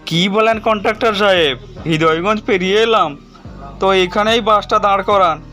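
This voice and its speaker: Horror cartoon son male performer: high voice, stubborn and pleading with his mother, music underneath. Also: normal speed, pushed and bright. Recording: music behind the voice.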